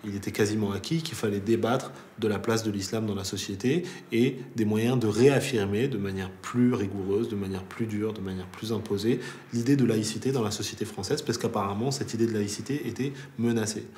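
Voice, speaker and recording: Low-voiced analytical male commentator. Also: fairly even intonation, darkish tone. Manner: measured, repeats and rephrases while building points. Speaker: commentator on Islamophobia. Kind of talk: interview answer. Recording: roomy echo with faint noise.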